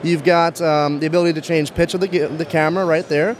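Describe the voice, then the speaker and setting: A man's voice: a little high, little pitch movement, a little fast. A man in his thirties demonstrating a product in an interview, speaking into a handheld microphone at a trade show. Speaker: brand manager demonstrating a product. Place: busy trade show floor.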